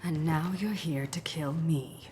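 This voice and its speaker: Low-voiced, bitter woman crime boss. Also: takes it slowly, warm tone, slight background noise. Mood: bitter, accusing. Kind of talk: video game voice acting.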